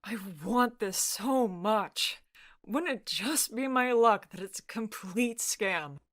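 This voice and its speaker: A woman's voice: on the high side, animated, takes it slowly, fairly bright tone, dry recording, clean studio sound, pitched above the performer's own voice. Woman acting a sarcastic, anxious, doubting youngster. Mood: anxious, wistful. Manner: sceptical, whiny, earnest.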